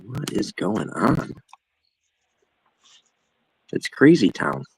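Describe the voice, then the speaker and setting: A man's voice: fairly low pitch, big pitch swings, extremely slow, gravelly, a little hiss or hum, rough recording. A man co-hosting a livestream, with a nasal, slightly whiny voice, chatting casually and laughing often. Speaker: livestream co-host. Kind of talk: casual chat.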